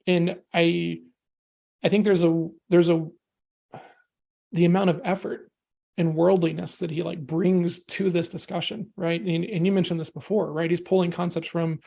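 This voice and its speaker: Man, gravelly voice, somewhat high, flat intonation, rambling, says right a lot. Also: measured pace. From United States. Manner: energetic, rambling, peppers talk with "right?" and "you know". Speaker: podcast guest. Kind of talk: casual discussion.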